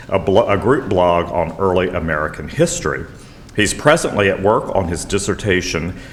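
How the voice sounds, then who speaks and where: Older southern guy introducing a lecture, very low voice, jokey and wry, hall echo. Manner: wry, chatty, self-deprecating asides. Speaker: event host introducing the speaker. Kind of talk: formal introduction with jokes. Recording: lecture hall reverb, faint noise.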